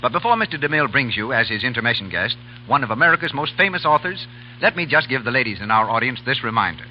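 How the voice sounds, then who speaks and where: A man announcing a radio program in a smooth, polished, formal way. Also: mid-range voice, animated, fast. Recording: muffled 1930s radio broadcast with faint hiss.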